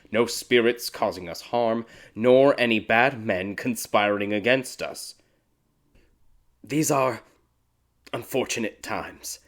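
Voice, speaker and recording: A man's voice: mid-range voice, a bit bright, close to an everyday voice. Man acting a stern, irritable, domineering king. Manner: rigid, domineering. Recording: background music behind the voice.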